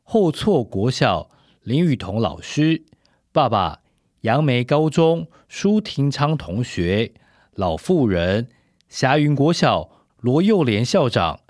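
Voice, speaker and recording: A man's voice: mid-range voice, very animated, slow, a little mellow, no echo, clean studio sound. A man reading out scripted credits as an announcer.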